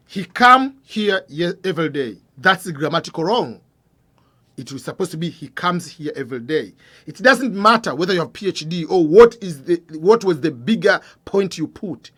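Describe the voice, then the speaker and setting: Guy with a high voice giving scholarship tips, earnest, trails off quiet at phrase ends. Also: slightly rough. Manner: earnest, repeats and rephrases points, asks the viewer questions. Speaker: scholarship advice channel host. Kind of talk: informal explanatory talk to camera.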